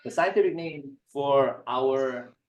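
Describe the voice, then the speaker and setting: Man, casual joking talk, mid-pitched voice, echoey room, off mic. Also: takes it slowly, far from the mic. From Hawaii. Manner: chatty, joking, digressive. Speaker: guest speaker.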